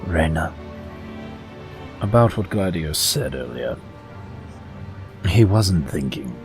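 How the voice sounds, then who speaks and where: A man playing a courteous, tactful royal advisor in an audio drama, with formal and measured phrasing. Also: very deep voice, sing-song, vocal fry, over music, exaggerated voice. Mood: gently probing.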